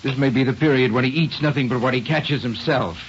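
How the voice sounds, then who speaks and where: A man's voice: medium pitch, a little fast, lightly acted. An actor playing an easygoing middle-aged father with a dry, placating manner, in old radio drama style. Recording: muffled, hissy vintage radio broadcast.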